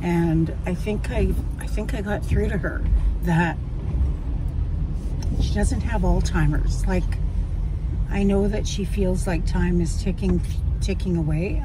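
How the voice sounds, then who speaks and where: A middle-aged woman chatting casually to camera from her parked car, rambling and self-deprecating. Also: on the low side. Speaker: vlogger. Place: parked car, outdoors in sun. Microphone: phone camera on dashboard. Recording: distant mic with loud street noise.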